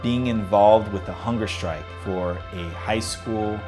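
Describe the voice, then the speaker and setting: Fairly low American man over music. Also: slow. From Chicago, United States. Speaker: city council candidate, organizer. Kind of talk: personal testimony in a campaign video. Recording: clear music behind voice.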